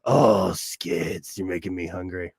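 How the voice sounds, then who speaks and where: A middle-aged man hosting a casual live stream, chatting and laughing often with quick asides. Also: deep voice, gravelly, slightly rough, dry recording, clean studio sound. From Mexican-American family. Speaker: livestream host.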